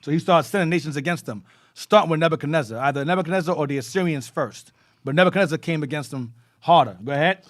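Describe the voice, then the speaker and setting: A man's voice: fairly high pitch, quick pace. A man teaching a bible class, explaining between readings and prompting the reader to go on.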